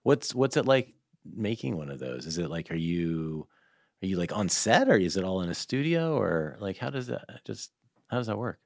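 A man's voice: sits low, very animated, moderate pace, vocal fry, no room sound, studio quality. A man hosting a podcast, interviewing casually with informal, loosely phrased questions. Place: podcast studio.

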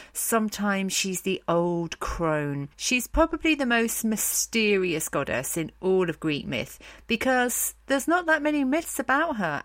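A woman's voice: medium pitch, a bit bright, dry recording. A woman hosting a podcast, telling stories in an informal scripted style with irreverent, slangy, emphatic phrasing. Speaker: podcast host. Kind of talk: informal scripted storytelling narration.

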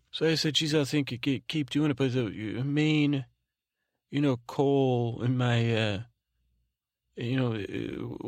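Male sleep podcast host, mid-pitched with heavy vocal fry, very dry sound. Halting, repetitive, meandering bedtime rambling. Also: dry recording. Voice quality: soothing, soft-spoken drone. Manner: meandering, halting, repeats and trails off. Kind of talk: rambling bedtime monologue meant to lull.

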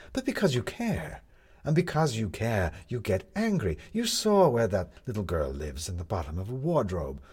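Man playing storybook con artist, bitter and proud, low husky voice, impassioned, theatrical, swinging intonation. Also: darkish tone, full character voice. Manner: impassioned, emphatic, rhetorical.

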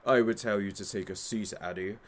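A man's voice: on the low side, fairly even intonation, a little fast, lightly acted. An actor playing a flamboyant, menacing showman hostage taker in an audio drama, speaking with theatrical, smug mock politeness.

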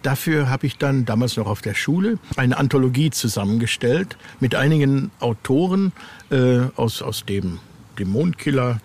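A middle-aged or older man giving conversational, halting interview answers as a horror author. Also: mid-range voice, animated. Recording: clear ambient background noise.

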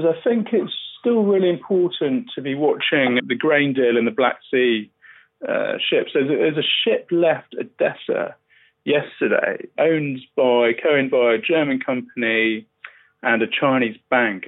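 Male reporter, mid-pitched, explaining news on a thin phone-like connection. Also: unhurried. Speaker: newspaper journalist. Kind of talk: spoken news analysis on a podcast. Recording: dull, band-limited remote line.

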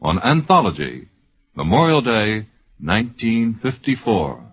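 Lively low-pitched American 1950s radio announcer, male. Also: breathy edge. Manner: formal, deliberate. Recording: 1950s radio broadcast with music. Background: music.

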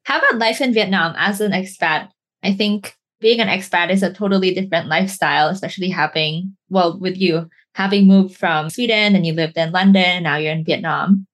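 A woman's voice: lowish voice, brisk. A woman hosting an interview, asking friendly, curious questions. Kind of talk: casual interview conversation.

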